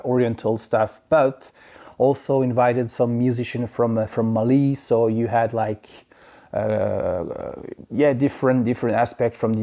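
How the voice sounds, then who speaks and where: A man from France with a French accent, chatting casually about his record purchases in a halting way, full of fillers and restarts. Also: lowish voice, dark tone. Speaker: vinyl collector vlogger. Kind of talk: casual record haul review. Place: home in Quebec.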